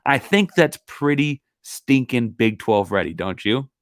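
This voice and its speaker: A man's voice: medium pitch, animated, measured pace, dry recording. Man, sports podcast host, casual rambling chatter, fading voice, dry close sound. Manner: casual, rambling, many fillers and asides. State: losing his voice. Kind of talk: solo sports podcast analysis.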